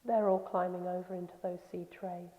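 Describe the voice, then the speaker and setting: A woman leading a guided meditation in a soothing, gentle, unhurried manner with pauses. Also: fairly low pitch, little pitch movement. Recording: echoey long reverb, slightly dull sound.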